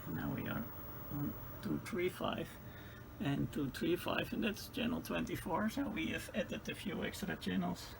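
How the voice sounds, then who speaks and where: A man with a heavily creaky, fry-laden voice that sits a little high talks through a hands-on technical demonstration. He explains piece by piece, haltingly, repeating phrases as he goes. Clear background noise runs under the home-made recording. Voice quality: creaky, gravelly voice. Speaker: radio repair tutorial host. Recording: clearly noisy home-made video sound.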